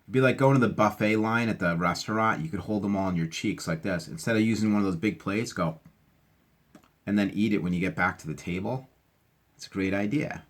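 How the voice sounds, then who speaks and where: A man with a slightly husky voice reading aloud to children and explaining things simply in a chatty, encouraging teacher's manner. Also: deep voice. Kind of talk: animated read-aloud with casual explanations.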